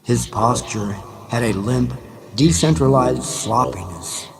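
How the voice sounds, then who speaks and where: A man reading a novel aloud in a dramatic, deliberate, measured style with pauses. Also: medium pitch, creaky. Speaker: audiobook reader. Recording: noisy recording with background ambience. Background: background ambience sounds.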